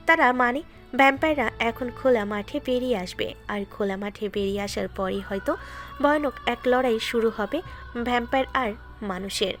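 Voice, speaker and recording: A woman narrating a scripted story in a steady, dramatic way. Her voice sits a little high, and faint background music plays beneath her. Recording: music bed behind.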